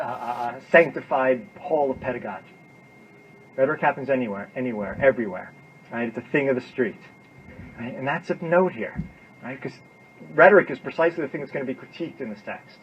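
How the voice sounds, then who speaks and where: A man's voice: medium pitch, brisk, long pauses. A man giving an informal, emphatic classroom lecture, repeating himself and often checking in with "right?". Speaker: university lecturer. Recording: dull lo-fi classroom recording with noise.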